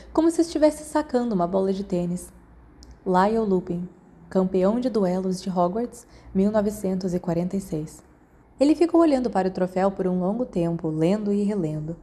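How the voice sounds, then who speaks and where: Brazilian lady reading a fiction audiobook, relaxed mellow tone, medium pitch. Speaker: podfic narrator. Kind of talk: scripted story narration.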